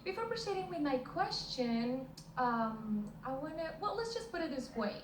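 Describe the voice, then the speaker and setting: A young woman in her twenties from Colombia, speaking English with a Colombian Spanish accent as a talk show guest, warm and a little nervous. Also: high-pitched, very animated, moderate pace. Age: 20s. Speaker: pageant contestant guest. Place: TV studio. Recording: roomy studio sound with background noise.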